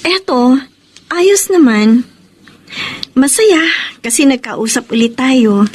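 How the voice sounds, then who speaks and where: High-voiced sweet young Filipina. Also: female voice, animated, slow pace, a little mellow, slightly rough, exaggerated voice. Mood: affectionate. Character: kind young woman, candid girlfriend and best friend. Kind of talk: radio drama acting. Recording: music under the voice.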